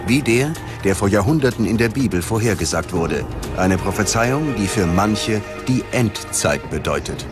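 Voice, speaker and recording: A man's voice: lowish voice, animated, normal speed. A man reading scripted documentary narration in a dramatic, ominous manner. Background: dramatic music.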